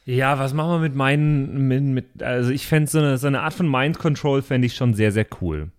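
A man's voice: mid-range voice, normal speed, clear, resonant tone. A man chatting casually as a tabletop player, hesitantly thinking aloud in fragments. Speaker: tabletop role-playing player. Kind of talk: casual chat.

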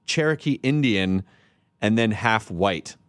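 A man's voice: on the low side, expressive, takes it slowly, no echo. American guy cohosting a podcast, jokey and rambly, dry close sound. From United States. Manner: joking, rambling with many restarts. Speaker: podcast co-host. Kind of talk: casual chat.

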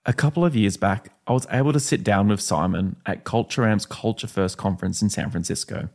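An Australian man, the podcast host, reading a steady, upbeat episode introduction. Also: fairly low pitch, a little fast.

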